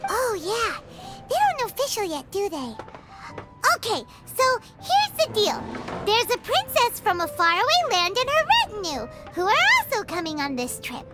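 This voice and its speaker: A tiny floating fairy companion from a video game, extremely high and squeaky. Her childlike delivery swoops wildly and excitably, with loud music underneath. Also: normal speed, full character voice. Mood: curious, chatty. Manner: squeaky, speaks of herself in third person. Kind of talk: video game character acting.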